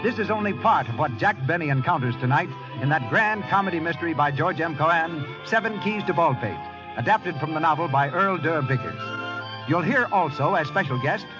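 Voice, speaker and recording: An American man working as announcer and narrator on scripted 1940s radio, with polished, declamatory delivery. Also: a little high. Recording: lo-fi vintage radio broadcast. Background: music.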